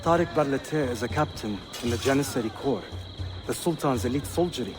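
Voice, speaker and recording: A man's voice: medium pitch, fairly quick, over music, close to an everyday voice. An actor playing a teenage Ottoman prince in a video game, courteous, assured and formal. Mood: composed. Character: poised teenage Ottoman prince, polite yet commanding.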